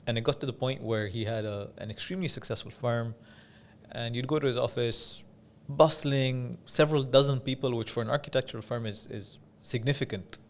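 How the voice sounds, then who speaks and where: A man from Dubai speaking as an interview guest, telling stories in a measured, conversational way with pauses and repeated phrases for emphasis. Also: mid-range voice. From Dubai, UAE. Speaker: podcast guest, business coach.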